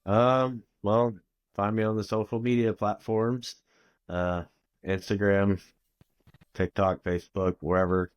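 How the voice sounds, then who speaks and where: A man guest on a podcast, speaking in a relaxed and offhand way in casual conversation. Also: fairly low pitch, a little slow, clear, resonant tone, highs cut off. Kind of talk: casual interview chat.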